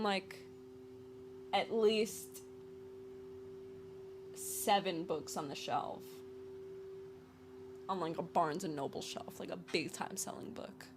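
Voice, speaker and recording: A woman's voice: mid-range voice, noisy background. A woman in her twenties hosting a podcast, talking alone in a rambling, playful way and laughing often. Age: 20s. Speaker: podcast host. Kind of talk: casual solo podcast monologue.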